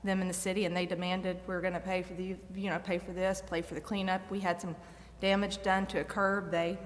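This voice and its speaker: A woman's voice: on the low side, level tone, a little fast. A woman giving informal, conversational remarks at a public meeting. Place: city council chamber. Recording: reverberant meeting chamber.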